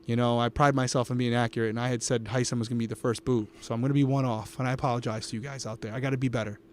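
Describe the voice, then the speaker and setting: Quick, upbeat male co-host, close on his podcast mic, talking to the chat. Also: medium pitch, little pitch movement, a little mellow, slight background noise. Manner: upbeat, talks to the live chat. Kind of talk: casual live watch-along commentary. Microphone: podcast microphone.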